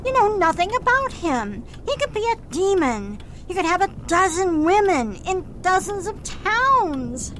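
Woman acting high-pitched scolding mother. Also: sing-song, unhurried, few pauses, a bit bright, exaggerated voice. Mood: scornful, forbidding. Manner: scolding, emphatic. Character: stern, scornful, weary mother running a rooming house. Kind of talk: melodramatic radio play acting. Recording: clear background noise and rumble.

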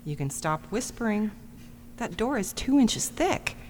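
A woman's voice: mid-range voice, very animated, a little slow, darkish tone. Wry female medical examiner, acted by a woman, blunt, swooping intonation, long reverb, faint hum. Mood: wry, matter-of-fact. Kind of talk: audio drama acting.

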